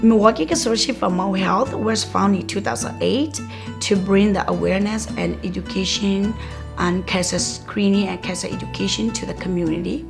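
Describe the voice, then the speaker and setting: A woman whose first language is Karenni, originally from Myanmar, answering interview questions as a community health worker. Her mid-pitched voice carries a noticeable gravelly fry, and she pauses often with "um" and "like". Soft music plays faintly beneath her. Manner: measured, with frequent "um" and "like" pauses.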